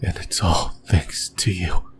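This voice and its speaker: Low, slow whispering demon, acted by a man. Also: fairly even intonation. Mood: desolate, yearning. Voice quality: breathy, mostly voiceless whisper. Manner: slow, deliberate, halting phrases with long pauses. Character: possessive, lonely male sleep paralysis demon, creepy, yearning. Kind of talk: whispered creepy ASMR roleplay monologue.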